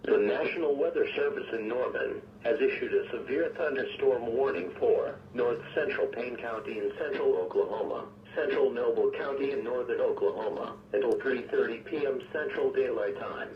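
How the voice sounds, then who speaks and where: A synthetic-sounding man's voice reading formal emergency weather bulletins in a measured, impersonal way, as an automated radio announcer. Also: medium pitch, far from the mic. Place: weather radio broadcast picked up on a receiver. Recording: muffled, noisy, echoey weather radio broadcast.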